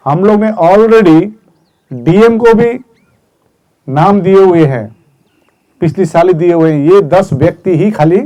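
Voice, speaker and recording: A man's voice: a little high, mellow. A man speaking as a movement spokesman at a press conference, earnestly setting out decisions one by one. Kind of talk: press statement.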